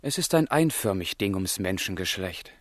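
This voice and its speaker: An impassioned young letter writer in a radio drama. His low voice is recorded close and dry, and he speaks in a lyrical, self-questioning way, full of feeling. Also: lively intonation, moderate pace, no echo. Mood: fervent, musing.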